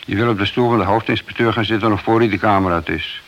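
A man's voice: on the low side, fast talker, fairly bright tone, lo-fi sound. A man playing a principled, long-serving police detective in a radio play, admonishing in a matter-of-fact way. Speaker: radio drama actor. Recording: hissy, noisy old radio recording.

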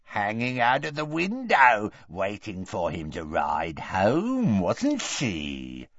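A man voicing a mad, vicious grown son in a storybook reading, hissing drawn-out, ruthless taunts. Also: fairly low pitch, wildly swooping pitch, a bit bright, dull audio, heavily acted. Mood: vicious taunting.

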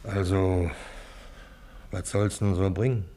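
A man's voice: low-pitched, level tone, slow, warm tone, slight background noise. An actor playing a crafty old bargeman in a German radio play, grumbling and wheedling in Berlin dialect. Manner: grumbling, plaintive, haggling. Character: wheedling, crafty old bargeman who deals in poached goods.

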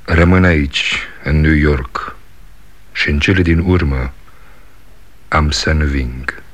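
An actor playing a stubborn, defiant younger son in a 1960s radio drama, speaking insistently. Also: male voice, extremely low pitch.

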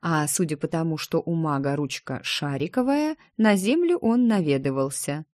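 A woman's voice: low voice, animated, normal speed, a little mellow, no echo, clean studio sound. A woman narrating first-person fiction from a script, acting out the dialogue as she reads. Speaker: audiobook narrator.

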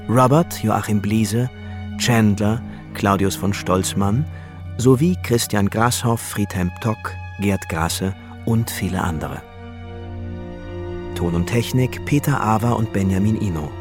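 An actor as the narrator of a radio drama, reading a measured scripted narration with music under him. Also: male voice, lowish voice. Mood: formal, announcing.

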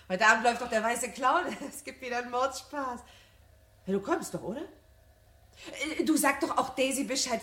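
A woman's voice: fairly high pitch, pushed and bright, roomy sound, full character voice. A woman acting a frivolous, giggly housewife gushing on the phone in a 1970s German radio drama.

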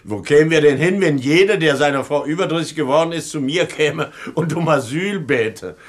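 An actor playing a jovial but officious prison director, patronising and easily flustered, in a comedic German radio drama. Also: male voice, a little high, moderate pace, close to an everyday voice. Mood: condescending.

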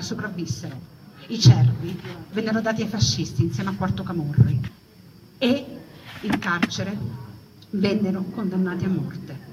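A woman telling a story theatrically, as in a stage monologue, with dramatic, building repetition. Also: medium pitch. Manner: dramatic delivery with repeated, building phrases. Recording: noisy, distant, dull lo-fi recording.

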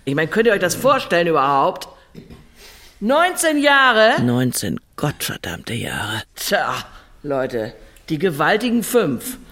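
A woman's voice: low-pitched, sing-song, slow, a bit bright, full character voice. An actress playing a middle-aged, bitter, foul-mouthed ex-convict, telling her story bluntly and sardonically in a radio drama monologue. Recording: faint music underneath.

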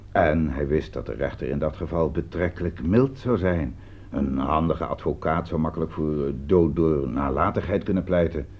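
A man's voice: extremely low pitch, husky, exaggerated voice. A man acting in a radio play as a shrewd, accusing police commissioner, questioning and reasoning methodically and with emphasis. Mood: smooth, insinuating. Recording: dull lo-fi radio recording.

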